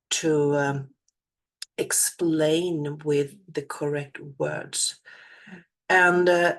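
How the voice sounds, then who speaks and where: A low-pitched woman with a Swedish accent talks slowly in a reflective solo monologue. English is her second language, and she pauses, repeats and searches for the right words as she goes. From Sweden. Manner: searching for words, many restarts and fillers. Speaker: spiritual channeler.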